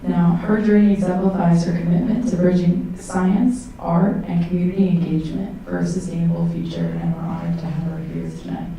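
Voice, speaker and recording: A woman's voice: lowish voice, level tone, a little fast, few pauses, dark tone, slight background noise. A woman reading a formal introduction of a guest speaker, recorded from far off in an echoey hall. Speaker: event host.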